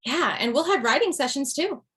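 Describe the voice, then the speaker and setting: A woman's voice: high voice, expressive, brisk, fairly bright tone. A woman playing an overwhelmed, bossy widowed mother with a dry wit in a comedy table read over video call. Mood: decisive, tired.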